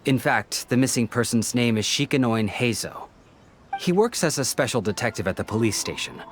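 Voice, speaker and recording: An actor playing an earnest, polite young male detective's assistant in a video game, with an expressive, pleading manner that is easily exasperated. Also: medium pitch, normal speed, a little hiss or hum, lightly acted. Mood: earnest, explaining. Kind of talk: English video game voice acting. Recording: background music under the dialogue.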